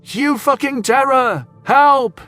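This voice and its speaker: A man's voice: very high-pitched, slow, pushed and bright, heavily acted. A man playing a big, blustering, exasperated human in a sci-fi audio drama. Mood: alarmed yelping. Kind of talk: audio drama character acting. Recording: music under the voice.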